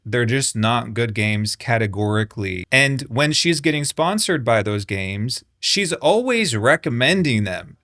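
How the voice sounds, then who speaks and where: A man's voice: medium pitch, fairly bright tone, no echo, studio quality. A man giving blunt, sarcastic reaction commentary, swearing freely. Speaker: commentary YouTuber.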